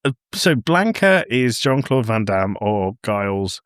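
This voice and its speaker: A man co-hosting a podcast, telling things in an animated, casual conversational way. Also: on the low side, measured pace, clean tone, dry recording, studio quality. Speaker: podcast host. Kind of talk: casual film discussion.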